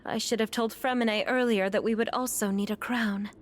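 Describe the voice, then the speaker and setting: A woman's voice: a little high, steady tone, a little fast, slight background noise, lightly acted. A woman voicing a courtly young loyal attendant in a video game, speaking in a formal, composed and theatrical way. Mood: earnest.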